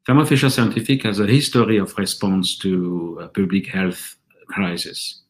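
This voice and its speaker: A man with a French accent giving a measured, earnest conference presentation for his company. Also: deep voice, lively intonation. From France. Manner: earnest, measured delivery with occasional restarts.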